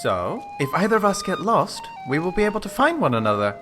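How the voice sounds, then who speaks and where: A man voicing a protective, knowledgeable adventurer companion in a video game, explaining things in a measured way. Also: high-pitched, wildly swooping pitch, music in the background. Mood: earnest, protective.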